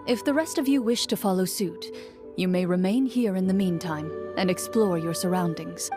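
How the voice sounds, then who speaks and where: An actress playing a composed, formal female duelist and monster hunter in a video game, speaking in a measured, reserved manner. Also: on the low side, music in the background, lightly acted. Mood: cool, formal.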